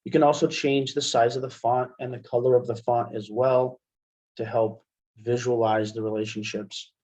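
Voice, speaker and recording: A man giving an informal, step-by-step software demonstration as a webinar instructor, in dull lo-fi call audio. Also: medium pitch, little pitch movement, moderate pace.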